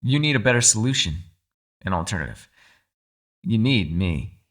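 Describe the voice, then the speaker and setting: A man playing a captive mind-controlling being in an ASMR roleplay, calm, shrewd and persuasive, speaking in a measured, formal, quietly condescending way. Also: on the low side. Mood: pointed, persuasive. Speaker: voice actor. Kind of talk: ASMR roleplay, dramatic monologue.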